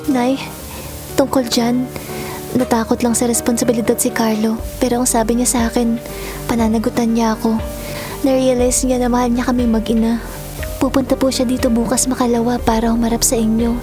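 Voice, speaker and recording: A woman's voice: a little high, little pitch movement, a little fast, close to an everyday voice. A Filipino woman playing a caring, respectful young adult daughter in a family drama, polite and earnest. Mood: relieved, hopeful. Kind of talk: melodramatic drama acting. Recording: dramatic music bed.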